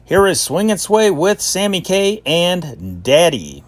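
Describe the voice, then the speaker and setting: Male countdown host, scripted delivery, fairly high voice with very varied intonation. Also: big pitch swings, slow pace. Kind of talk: scripted chart countdown narration.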